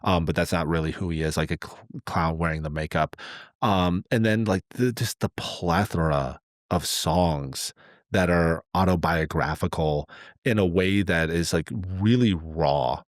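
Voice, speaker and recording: A man talking casually as a podcast host, in a halting, earnest, rambling way as he thinks aloud. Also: low-pitched, dry recording, studio quality.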